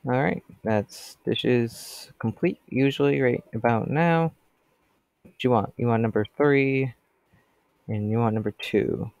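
A man's voice: medium pitch, slow pace, gravelly, a little mellow, dry recording. Stuffy-nosed teen boy chatting over a game. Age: teens. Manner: chatty, rambling, talks to customers. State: stuffy from a cold. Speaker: gameplay commentator. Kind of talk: casual gameplay commentary.

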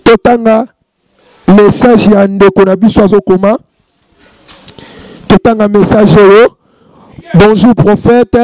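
A man's voice: high voice, fairly even intonation, slow, long pauses, a little mellow, dry recording, low-quality recording. A man in his thirties, a prophet hosting a studio show, preaching and calling out over a handheld microphone. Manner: chanting exclamations, mixing French and Lingala. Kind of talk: preaching and reading out prayer messages. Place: studio with warm lamp backdrop.

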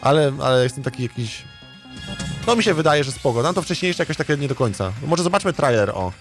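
Male streamer, average pitch, hesitant thinking-aloud chat with repeated words, music underneath. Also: animated, quick pace. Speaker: streamer, let's play host.

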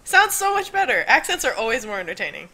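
A man's voice: extremely high pitch, wildly swooping pitch, fast talker, heavy background noise. A young man chatting over a game, laughing constantly and shrieking with laughter. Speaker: gaming YouTuber. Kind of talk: casual gameplay chat.

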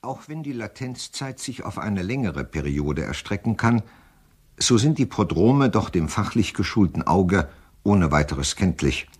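An actor playing a pedantic psychiatrist who reads a formal clinical opinion in an audio drama, with a deep, husky voice. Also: male voice, very animated, a little slow, exaggerated voice. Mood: clinical, self-assured. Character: pedantic male psychiatrist delivering a learned expert opinion. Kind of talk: dramatic reading of a medical report in an audio drama.

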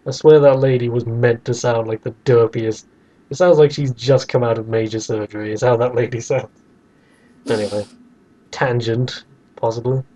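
A man's voice: mid-range voice, steady tone, moderate pace, dark tone, highs cut off. A man in a casual debate about video games as a podcast host, argumentative and given to acting out imagined quotes.

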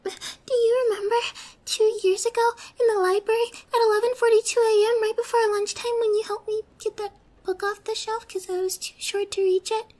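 A woman playing a young, obsessed, possessive girlfriend in an ASMR roleplay, sweet yet menacing, with a soft girlish voice and a coy, drawn-out delivery. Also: very high voice, steady tone. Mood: intense, obsessive. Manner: slow, coy, drawn-out, slipping into whispers.

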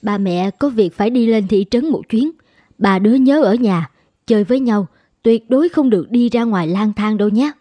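A woman's voice: a little high, normal speed, dark tone, no echo, natural voice. A woman playing a caring, firm mother in a cartoon, giving instructions. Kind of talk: animated moral tale dubbing.

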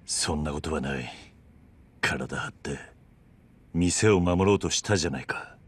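A man's voice: very deep voice, measured pace, breathy edge, heavily acted. A male actor playing a stoic middle-aged yakuza brawler in a game cutscene, speaking tersely and solemnly. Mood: grave, reassuring. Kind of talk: Japanese video game cutscene acting.

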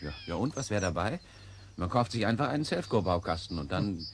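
Earnest Gordon, lowish animated voice. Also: male voice, brisk, slight background noise. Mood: defensive. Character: Gordon, earnest, touchy hobbyist tinkerer, adult man. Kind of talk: German radio play acting around 1970.

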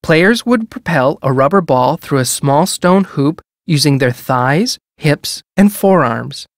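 An actor playing a composed museum exhibit narrator in a game, with measured, formal educational delivery. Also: mid-range voice, expressive, no room sound, studio quality.

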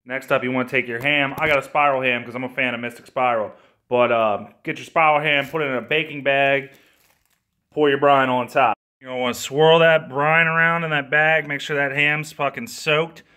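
A young man in his twenties filming a comedic cooking video in his home kitchen on a phone held at arm's length, cracking crude deadpan jokes and swearing freely. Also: mid-range voice, normal speed. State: hungover.

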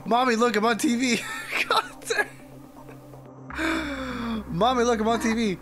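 A man's voice: extremely high pitch, measured pace, background noise. A man doing casual livestream commentary as he reacts to a game, exclamatory and jokey. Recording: game music behind the voice.

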